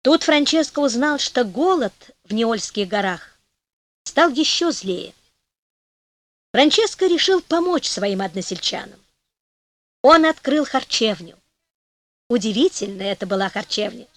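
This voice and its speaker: Lively woman reading a fairy tale. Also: on the high side, unhurried, long pauses, dry recording. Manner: expressive, lively storytelling. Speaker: audiobook narrator. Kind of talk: fairy-tale audiobook narration. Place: recording studio.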